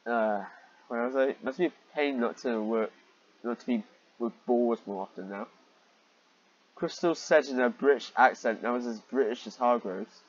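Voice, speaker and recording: A man's voice: mid-range voice, normal speed, lo-fi sound. A man reading a story aloud in a mocking way, exasperated and often breaking off into rambling asides. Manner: exasperated, easily sidetracked, breaking off to comment. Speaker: reader and commentator of fan fiction.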